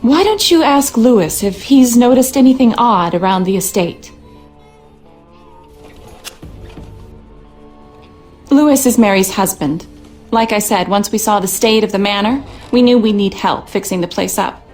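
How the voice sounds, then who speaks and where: An actress playing the worried, weary lady of a rundown manor in a video game, speaking in a measured, dry, articulate way. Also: mid-range voice, lively intonation, darkish tone, soft background music, lightly acted. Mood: curious, measured.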